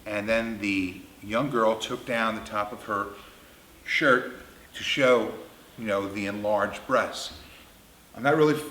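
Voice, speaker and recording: A man in his fifties tells comic stories in a roomy, echoing space. His delivery is lively and swings around in pitch. He is blunt, crude and emphatic. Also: slight background noise. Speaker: storyteller. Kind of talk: comedic anecdote storytelling. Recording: roomy, echoing indoor sound.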